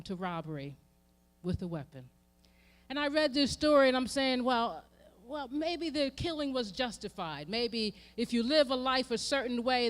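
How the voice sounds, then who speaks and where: American woman preaching with emphatic repetition. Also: a little high. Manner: emphatic rhetorical repetition, swelling from hushed to forceful. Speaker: reverend speaking at a memorial event. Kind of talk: impassioned sermon. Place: live event before an audience.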